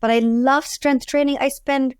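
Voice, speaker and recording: A woman's voice: high voice, steady tone, moderate pace, no echo. Indian-accented woman chatting in a dry room. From Delhi, India. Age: 40s. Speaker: podcast guest, food entrepreneur. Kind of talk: casual interview chat.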